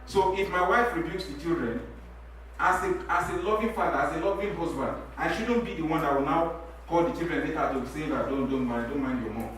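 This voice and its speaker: A middle-aged Nigerian man whose first language is Yoruba, speaking on a church talk-show panel. He talks in an animated way, repeats his words and laughs while he makes his points. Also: a little high, a little fast, a little hiss or hum. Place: church hall. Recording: very echoey hall, mic from a distance.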